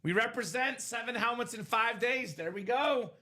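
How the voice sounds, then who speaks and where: A young man from Bulgaria hosting a live sports card break stream, upbeat and joking, shouting out buyers by name. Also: extremely high pitch, normal speed. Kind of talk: casual live-stream sales chat.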